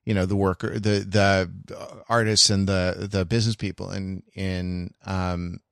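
A man co-hosting a podcast, explaining his thoughts in a halting way with many restarts. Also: low voice, flat delivery, creaky, a bit bright, clear, resonant tone, dry recording, clean studio sound. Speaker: podcast co-host. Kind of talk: casual chat.

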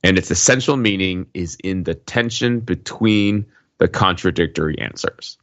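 Lower-voiced man chatting about film. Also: normal speed, a bit bright, dry recording, lo-fi sound. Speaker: podcast panelist discussing a film. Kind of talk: casual discussion.

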